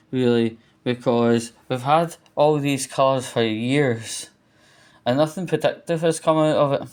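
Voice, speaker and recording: Male vlogger, unhurried delivery, average pitch, informal rambling monologue, opinionated, slightly sweary. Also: a little mellow, clean tone. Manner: rambling, colloquial, mildly sweary. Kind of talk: casual opinionated monologue to camera.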